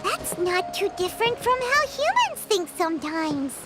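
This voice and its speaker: Squeaky, very high-pitched little fairy companion. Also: female voice, unhurried, heavily acted. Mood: musing. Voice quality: squeaky, childlike. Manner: perky, animated, refers to self by name. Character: tiny excitable floating fairy companion, childlike, chatty, easily startled. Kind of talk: anime game voice acting. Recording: music behind the voice.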